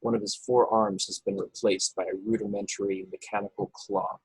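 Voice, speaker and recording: Low, husky male narrator. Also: little pitch movement, a little fast, no room sound, rough recording. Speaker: narrator reading stage directions. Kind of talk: scripted narration at an informal table read.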